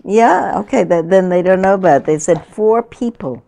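A woman's voice: medium pitch, normal speed, gravelly. A woman in her sixties, a meditation teacher, chatting informally and laughing with her group. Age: 60s. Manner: warm, chatty, laughing often. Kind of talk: informal talk to a retreat group.

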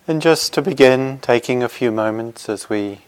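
A man leading a guided meditation as a teacher, speaking gently and reassuringly in short phrases with unhurried pauses. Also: mid-range voice, clean tone.

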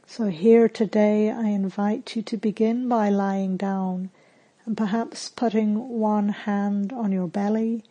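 A woman meditation teacher leading a guided meditation in a calm, gentle, soothing manner with long pauses. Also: medium pitch, muffled recording.

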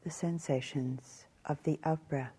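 A woman teaching guided meditation in a calm, measured way, leaving long pauses between short phrases. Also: sits low, husky.

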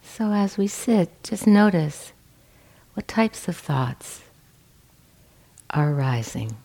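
A woman teaching meditation, giving gentle, measured guidance with pauses between phrases. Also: lowish voice, very animated, gravelly, poor audio. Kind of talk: guided meditation instruction.

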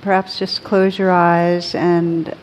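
A woman teaching a guided meditation in a gentle, soothing, unhurried manner with long pauses between phrases. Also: on the low side, flat delivery, slight background noise.

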